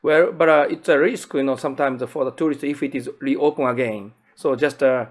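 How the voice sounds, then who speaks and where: A man's voice: mid-range voice, a little fast. A man with a Japanese accent, a native Japanese speaker, interviewing casually in hesitant, non-native English.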